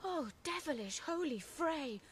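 Spurned young lover: wounded, indignant, piercingly high, deliberate pace, classical radio verse. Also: female voice, animated. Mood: reproachful. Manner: reproachful, emotional verse delivery. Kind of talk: classical Shakespearean radio acting.

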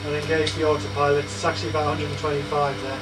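A young man narrates his flying in a calm, methodical way, with a voice that sits a little high. The camera picks him up from behind his seat, and a heavy drone of fans and engines sits under every word. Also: rapid-fire, heavy background noise. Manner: calm, explanatory, step-by-step. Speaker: flight sim cockpit builder. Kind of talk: casual narration while flying. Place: home cockpit simulator room.

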